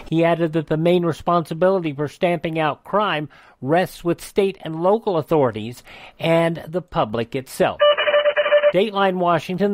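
A man's voice: on the high side, darkish tone, dry recording. A man hosting a radio show, reading news stories from a script.

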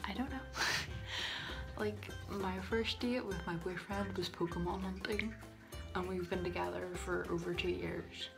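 A woman's voice: fairly low pitch, fairly even intonation, measured pace, creaky, over music. A young woman with a Northern Irish accent chatting casually to a phone camera in a small bathroom, giggling and dropping into whispered asides. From Northern Ireland. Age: young adult. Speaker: vlogger. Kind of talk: casual chat. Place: small tiled bathroom, indoors. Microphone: phone camera at arm's length.

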